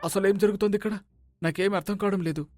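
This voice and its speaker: A man's voice: sits high, fairly even intonation, quick pace, crowd noise, voice pushed up, close to an everyday voice. A man voicing a frightened, sleepless thief in a cartoon moral story, complaining in an agitated way. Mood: frightened, bewildered. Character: frightened, grumbling male houseguest thief, rattled and sleepless.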